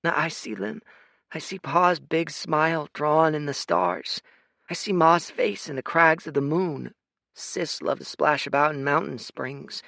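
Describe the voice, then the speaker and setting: Storybook orphan boy, mournful and odd, light high voice as a man puts him on. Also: fairly even intonation, measured pace, vocal fry, no room sound, studio quality, full character voice. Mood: wistful. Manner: soft, trembling, wistful.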